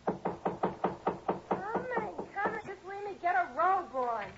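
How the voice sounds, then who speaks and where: An actress playing an indignant, defiant young niece caught out as a murderess in a 1960s radio drama. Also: female voice, extremely high pitch, very animated, slow, full character voice. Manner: snappish, protesting. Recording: muffled, noisy vintage radio recording.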